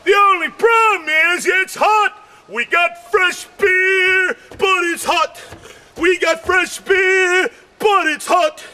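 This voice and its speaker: A man doing a rambling stand-up comedy act, shouting his punchlines and losing his voice. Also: very high voice, takes it slowly. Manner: rambling, shouts punchlines, keeps saying "man" and "you know".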